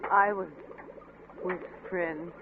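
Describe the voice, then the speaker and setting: Casting: gentle grieving wife, old-time radio style, hesitant and slow, noisy vintage recording. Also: female voice, lowish voice, steady tone, narrow, tinny audio. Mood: guarded. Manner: hesitant, measured, tender. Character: gentle, sorrowful, devoted wife of a troubled scientist. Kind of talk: 1940s radio drama acting.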